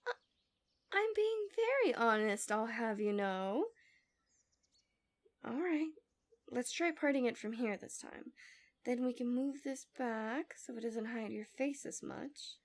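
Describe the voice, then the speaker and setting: A woman playing a smug, possessive female villain in an ASMR fantasy roleplay, speaking softly and coaxingly with insinuating formal wording, often dropping to a whisper. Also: fairly high pitch, expressive, slow pace, long pauses. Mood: doting, coaxing.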